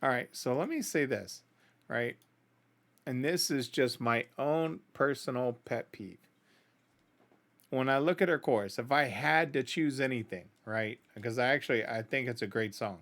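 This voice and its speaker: Bright-voiced man giving casual reaction commentary. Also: mid-range voice, normal speed. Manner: conversational, opinionated, often checking in with "right?". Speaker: host of a music reaction channel.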